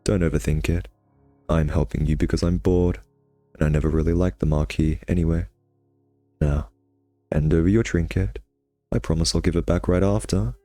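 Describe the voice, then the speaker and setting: A man's voice: low-pitched, steady tone, moderate pace, pauses often, warm tone, no echo, soft background music, natural voice. A man playing a cocky, sardonic vampire nemesis who teases and taunts the listener in an intimate ASMR roleplay. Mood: smug, teasing.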